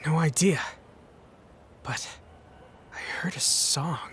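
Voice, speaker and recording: A man playing a troubled young psychic who narrates his story in a brooding, hushed, dramatic fan-dub style. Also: fairly high pitch, slow pace, in a whisper. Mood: uneasy, pensive.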